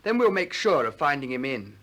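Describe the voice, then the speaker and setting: An actor playing an eager, earnest young Athenian disciple in a 1960s radio play. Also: male voice, mid-range voice, very animated, a little fast, a bit bright. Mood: eager, pleading. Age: young adult.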